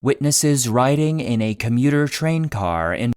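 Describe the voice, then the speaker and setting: A man narrating a scripted fictional case file for a podcast in a measured, serious, clinical manner. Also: mid-range voice, lively intonation, a little mellow, smooth, no room sound, studio quality.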